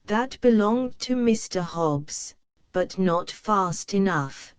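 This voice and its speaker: A woman narrating a children's storybook in a slow, clear read-aloud manner. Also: medium pitch, lively intonation, no echo.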